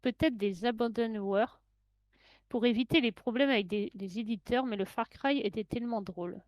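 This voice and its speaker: A woman's voice: fairly high pitch, moderate pace, no echo. A woman with a French accent, co-hosting a casual stream, laughing and protesting teasing. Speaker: co-host. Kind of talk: casual live stream chat.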